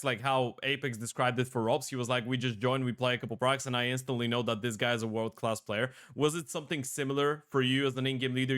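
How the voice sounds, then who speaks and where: A man with a smooth, clear voice hosts an interview about competitive gaming. He asks long, winding questions and restarts his phrases often as he goes. His pitch sits in a middle range. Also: fairly bright tone. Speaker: podcast host, interviewer.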